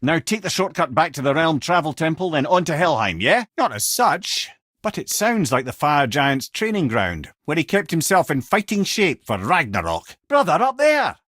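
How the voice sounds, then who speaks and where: An actor playing a witty, learned old storyteller and guide in a video game, with a Scottish accent and a wry, erudite, theatrical way of talking. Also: male voice, fairly high pitch, very animated, moderate pace, a bit bright, dry recording, clean studio sound. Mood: wry banter. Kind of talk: video game character voice lines, storytelling and banter.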